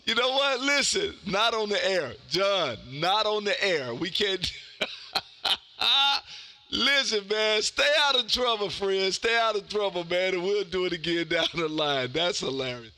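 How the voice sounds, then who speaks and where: A man in his fifties with a loud, exuberant, folksy manner, chatting casually as he hosts amateur radio contacts. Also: high-pitched, expressive, a little slow, dry recording. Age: 50s. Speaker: amateur radio operator hosting a live stream. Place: indoor home radio room.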